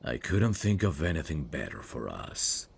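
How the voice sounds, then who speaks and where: A man playing a brooding evil prince, tender and sorrowfully noble, speaking in a slow, formal, wistful way for an intimate ASMR fantasy roleplay. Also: a bass voice, sing-song, vocal fry, a little hiss or hum, full character voice. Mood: tender, solemn.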